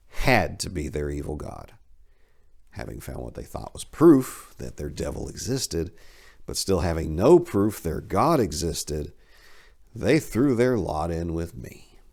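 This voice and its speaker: A man voicing an ancient fallen angel who speaks in a measured, earnest, solemn way, read aloud in a study with a lapel mic. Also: rumbling low, gravelly, a little mellow, exaggerated voice. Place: study lined with bookshelves.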